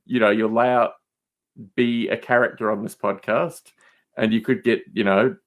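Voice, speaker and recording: A man's voice: lowish voice, fairly even intonation, moderate pace. A man chatting casually as a podcast guest, teasing, opinionated and emphatic in how he talks.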